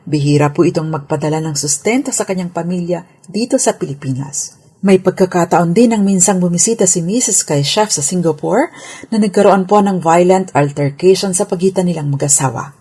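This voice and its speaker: A woman's voice: fairly low pitch, brisk, dark tone, slightly rough. A Filipino woman, a lawyer on radio, giving a scripted legal explainer in a formal, polite, explanatory manner.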